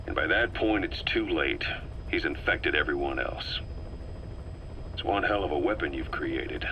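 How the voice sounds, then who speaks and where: A man's voice: extremely low pitch, expressive, measured pace, muffled recording, a little hiss or hum, exaggerated voice. An actor playing a tough, suspicious military man who questions bluntly in a video game cutscene. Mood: grim, accusing.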